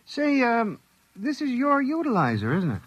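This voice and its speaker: A man's voice: very high-pitched, huge pitch swings, breathy edge, no room sound, exaggerated voice. An actor playing a nonchalant, chatty, slightly weary serviceman from the future in an old radio drama. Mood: breezy, businesslike. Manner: breezy, unhurried, matter-of-fact. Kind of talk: mid-century American radio drama acting. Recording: dull, dry vintage radio recording.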